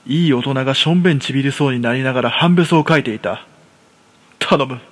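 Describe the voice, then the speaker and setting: A self-deprecating shut-in in his thirties, played by a man tells his own horror story in a radio play. His voice is mid-pitched, and he speaks in a rough, colloquial, masculine way with a jittery temper. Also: fast talker, lightly acted. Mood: frightened. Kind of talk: first-person horror story narration, radio drama.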